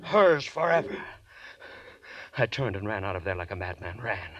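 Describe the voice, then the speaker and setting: An actor playing a tormented, desperate murderer and lecturer in intense, melodramatic 1940s radio horror style, with dramatic pauses. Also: male voice, on the low side, very animated, a bit bright, no room sound, lo-fi sound. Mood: desperate, impassioned. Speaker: narrator and lead actor.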